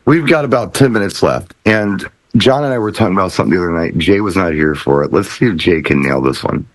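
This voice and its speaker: A man's voice: sits low, expressive, quick pace, no echo, low-quality recording. A man hosting a talk show in casual panel chat.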